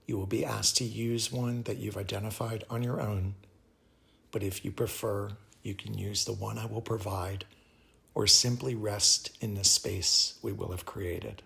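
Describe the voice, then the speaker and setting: A man leading a guided meditation as a yoga teacher, speaking calmly and soothingly in measured phrases with pauses. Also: on the low side, gravelly.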